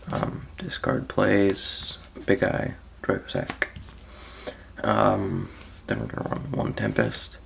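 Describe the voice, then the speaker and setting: A raspy, just-woken man, gravelly and low. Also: slight background noise. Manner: laid-back, hesitant, frequent ums, trails off softly. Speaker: card game player presenting his deck. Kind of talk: casual deck walkthrough.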